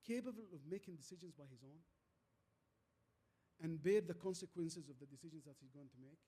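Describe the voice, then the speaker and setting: Mellow, higher-voiced man with an Arabic accent. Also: slightly rough. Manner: halting, repeats words, emphatic short phrases. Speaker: guest speaker, transformation leader. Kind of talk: fireside chat, anecdotal storytelling.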